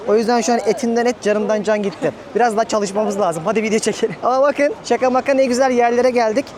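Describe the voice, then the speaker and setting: A man's voice: high-pitched, a little mellow. A man hosting a casual travel vlog, talking to viewers in a chatty, informal, joking way. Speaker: travel vlogger.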